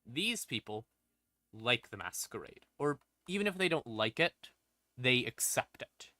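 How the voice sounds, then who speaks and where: A man's voice: medium pitch, very animated, a little slow, sharp tone, no echo. A man explaining lore on a stream in a casual, unscripted, irreverent way, swearing freely. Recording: background music under voice. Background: ambient music.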